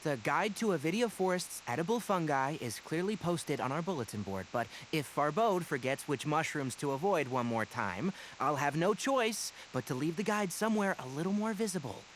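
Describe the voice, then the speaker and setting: A man playing a brisk, dry-witted young forest ranger master in a video game, articulate, instructive and mildly exasperated. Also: fairly high pitch, animated, slight background noise, natural voice, low-quality recording.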